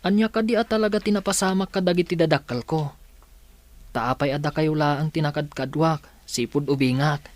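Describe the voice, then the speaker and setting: A man's voice: a little high, normal speed, dry recording, lightly acted. A man playing an earnest young male student and devoted grandson in a radio drama, with a pleading manner. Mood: pleading, troubled. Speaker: voice actor.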